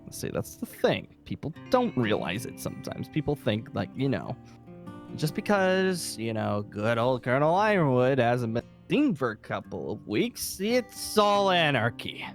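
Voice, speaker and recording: A man playing a weary, irritable survivor leader in an improvised zombie game roleplay, talking in a halting, rambling, emphatic way. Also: mid-range voice, very animated, faint music behind. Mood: agitated, exasperated. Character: weary, irritable safe-haven leader secretly seeking a zombie cure.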